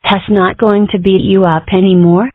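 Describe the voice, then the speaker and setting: A woman's voice: mid-range voice, little pitch movement, normal speed, no echo, natural voice. A woman voicing an opinionated, philosophical ten-year-old girl in a cartoon comic dub, earnest and wordy. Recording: muffled band-limited audio.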